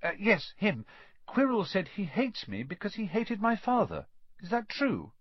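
A man's voice: sits high, wildly swooping pitch, measured pace, slightly rough, dry recording, lo-fi sound, voice pushed up, exaggerated voice. A man voicing an earnest, curious schoolboy wizard in an audiobook, questioning eagerly and thoughtfully. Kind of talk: audiobook character voice.

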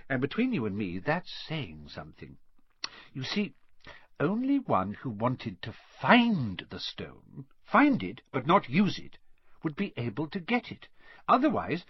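Man playing old wizard headmaster, audiobook, genial, whimsical, dreamy, husky, widely swooping pitch. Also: no room sound, lo-fi sound, full character voice. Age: older. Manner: dreamy, unhurried musing. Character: elderly wizard headmaster, genial, whimsical, quietly self-amused. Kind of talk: audiobook character voice.

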